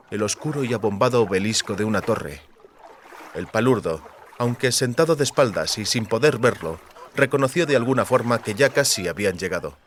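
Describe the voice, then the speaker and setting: A man's voice: mid-range voice, slight background noise. A man reading scripted literary fantasy fiction as an audiobook narrator. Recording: clear water and boat ambience behind. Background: lapping water and rowing boat sounds.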